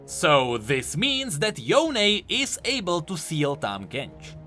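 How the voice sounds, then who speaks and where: A man's voice: a little high, wildly swooping pitch, takes it slowly, sharp tone, soft background music. A man giving enthusiastic, explanatory commentary on video game lore, leaning hard on key words for emphasis.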